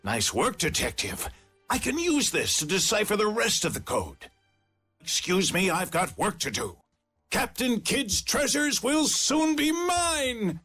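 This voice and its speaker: Casting: agitated treasure-obsessed gentleman in an adventure game, bright high voice, dramatic and eager. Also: a little slow, husky, soft background music, exaggerated voice. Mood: eager, excited. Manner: excitable, dramatic. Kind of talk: video game cutscene acting.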